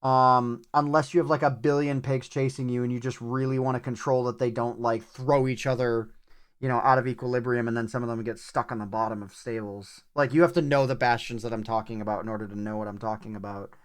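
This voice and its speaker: A man's voice: mid-range voice, fairly even intonation, a little fast. A man livestreaming a video game with casual commentary, thinking aloud in short, broken-up remarks as he plays. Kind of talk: casual live gameplay commentary. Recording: clear background noise, clicking.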